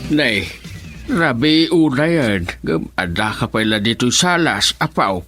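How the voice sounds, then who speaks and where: An old grandfather giving admonishment in a radio melodrama. His voice is husky and forceful, with big swings in pitch. He speaks slowly. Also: animated, dry recording, faint music behind, heavily acted. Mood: admonishing. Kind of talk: melodramatic radio drama acting.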